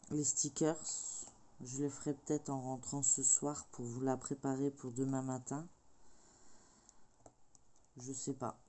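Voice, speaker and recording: A woman's voice: a bass voice, dark tone. A middle-aged woman with a cold, chatting casually and hesitantly while crafting, full of filler sounds. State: has a cold. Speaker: craft vlogger.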